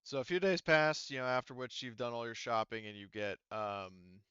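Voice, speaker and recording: A man speaking as game master, improvising tabletop game narration in a casual, halting, rambling way and often hedging. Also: medium pitch, expressive, a bit bright, clear, resonant tone, dry recording, lo-fi sound.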